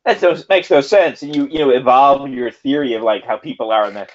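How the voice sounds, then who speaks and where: A man's voice: mid-range voice, brisk, highs cut off. A man co-hosting a podcast who thinks aloud in casual talk, with restarts and acted-out hypothetical lines. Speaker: podcast co-host. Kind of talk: casual analytical chat.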